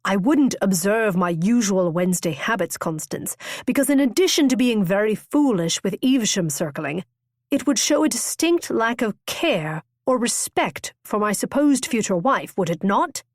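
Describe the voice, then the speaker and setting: A woman voicing a proud young gentleman who speaks in clipped, formal sentences full of cold reproach. The voice sits at a middling pitch, and the recording is dry and close. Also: sing-song, moderate pace, dry recording.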